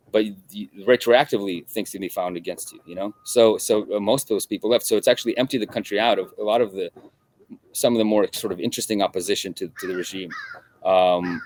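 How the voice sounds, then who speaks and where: A middle-aged man from Russia, a guest in an interview, talking in a halting way with many restarts and repeated words. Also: lowish voice, poor audio. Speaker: guest journalist. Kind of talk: conversational interview.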